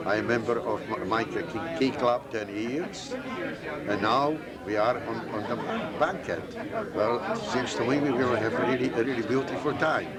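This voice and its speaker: A man's voice: mid-range voice, moderate pace. A man introducing himself at a club banquet, upbeat and stumbling over his words. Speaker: amateur radio club member. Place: crowded banquet hall. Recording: loud banquet crowd noise.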